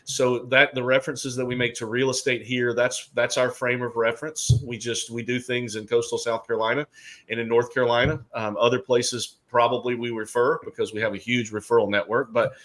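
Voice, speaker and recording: Chatty middle-aged host, joking. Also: male voice, medium pitch, flat delivery, brisk, a bit bright, rough recording. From Alabama. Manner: chatty, joking, many fillers. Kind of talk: casual chat.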